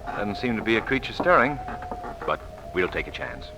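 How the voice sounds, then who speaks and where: An actor playing an urbane, unflappable gentleman adventurer, wry and lightly formal in 1940s radio style, on a noisy old recording. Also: on the low side, big pitch swings, normal speed.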